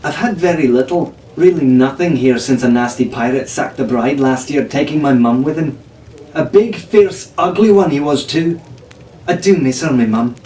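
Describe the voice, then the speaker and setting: A man's voice: mid-range voice, moderate pace, mellow, far from the mic, lightly acted. A man voicing a knowledgeable, strong-willed teenage tavern girl in a storybook, telling pirate lore in an earnest, firm way. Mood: sad, bitter. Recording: echoey room from off-mic, faint noise.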